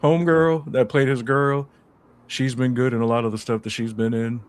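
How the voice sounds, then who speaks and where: A man in casual podcast chat, relaxed and slangy, stretching his stories out as he goes. His voice sits at an ordinary middle pitch. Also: smooth. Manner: laid-back slangy banter, drawn-out storytelling. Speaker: podcast co-host.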